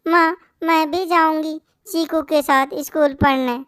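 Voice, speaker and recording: A woman's voice: high-pitched, steady tone, measured pace, fairly bright tone, dry recording, clean studio sound. A woman voicing a pleading, mistreated little bird girl in a moral-story cartoon. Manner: pleading, plaintive.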